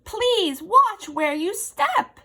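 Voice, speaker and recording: Woman voicing a loud, high-pitched, bossy little ant. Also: big pitch swings, extremely slow, heavily acted. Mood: bellowing, urgent. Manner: roaring, bellowing, emphatic. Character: loud, bold little female ant, commanding but friendly. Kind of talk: children's storybook read-aloud.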